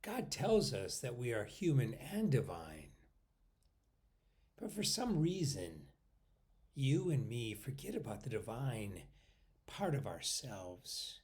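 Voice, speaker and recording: Slow-paced man guiding a meditation. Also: mid-range voice, very animated. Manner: unhurried, pausing between short phrases, often trailing off softly. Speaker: meditation guide.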